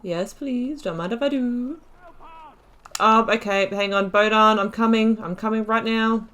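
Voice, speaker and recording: A woman's voice: on the high side, measured pace, fairly bright tone. A woman hosting a game playthrough with casual, chatty commentary and playful asides. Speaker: gaming video host.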